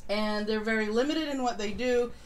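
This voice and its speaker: A woman's voice: on the high side, normal speed, poor audio. A woman co-host giving casual commentary on a live stream. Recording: home live stream with background noise.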